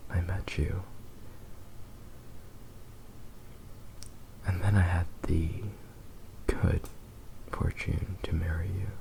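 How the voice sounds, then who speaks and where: A man acts out a tender fox-spirit husband in an intimate ASMR roleplay, utterly devoted and a little possessive. His voice sits very low, with a gravelly creak under a soft, breathy half-whisper. He talks in a hushed, unhurried way, keeping the melody narrow and gentle. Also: full character voice. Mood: tender, wistful. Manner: slow, hushed, intimate, lingering pauses.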